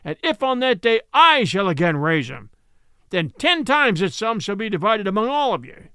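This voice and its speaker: A man voicing a grim, obsessed old sea captain who booms his orders in an old-fashioned, declamatory way. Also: sing-song, moderate pace, sharp tone, smooth, dry recording, studio quality, heavily acted. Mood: rousing. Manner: booming, declamatory.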